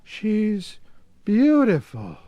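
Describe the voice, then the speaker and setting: A man voicing a quiet, awestruck young boy who speaks in a soft, halting way. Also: high voice, wildly swooping pitch, no echo, lo-fi sound, pitched above the performer's own voice, exaggerated voice. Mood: awed.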